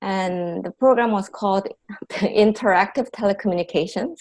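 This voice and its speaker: A woman's voice: medium pitch, normal speed, a little mellow. A woman in her fifties from South Korea with a Korean accent, talking in a casual interview, thoughtful, with many fillers and restarts. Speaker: massage therapist and dancer.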